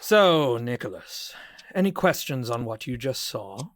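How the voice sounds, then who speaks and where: A man plays a staff interviewer at a psychiatric facility in an audio drama. He is formal and probing, with mid-pitched, very mobile intonation. The recording is dry and close. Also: sing-song, unhurried, no echo.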